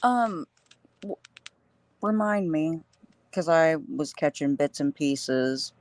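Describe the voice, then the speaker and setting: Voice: medium pitch, lively intonation, slow pace, no room sound. A person chatting casually on a live stream, choosing words carefully and hesitantly.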